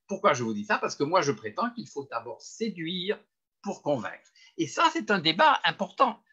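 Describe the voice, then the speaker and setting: Older french guy giving a webinar talk, bit husky, kinda high pitched, muffled lo-fi sound. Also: normal speed. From France. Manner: animated, emphatic lecturing with self-deprecating humor. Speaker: webinar speaker. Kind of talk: marketing webinar presentation. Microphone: webinar computer mic.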